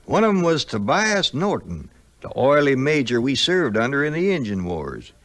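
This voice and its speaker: An actor playing a loyal, folksy frontier sidekick with a rustic Southern American drawl in a 1950s audio play. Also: male voice, mid-range voice, very animated, normal speed, fairly bright tone. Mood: folksy storytelling. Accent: American backwoods Southern.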